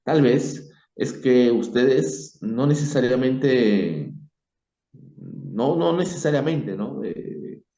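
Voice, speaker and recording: A man with a Peruvian Spanish accent giving his opinion informally, reflective and halting, leaning emphatically on key words. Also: mid-range voice, expressive, dark tone. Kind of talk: informal spoken opinion on history. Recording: dull, echoey room recording.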